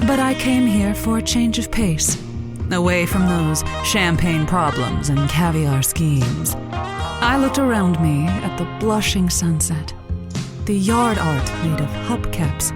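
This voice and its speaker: A novice late-night radio hostess for an audio comedy. Her voice is fairly low for a woman and unhurried. She leans grandiose and theatrical, with a flustered, nervous edge. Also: animated, loud music behind the voice. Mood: grand, breathy showmanship. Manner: flowery, grandiose on air, stammering when nervous. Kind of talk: audio comedy drama acting.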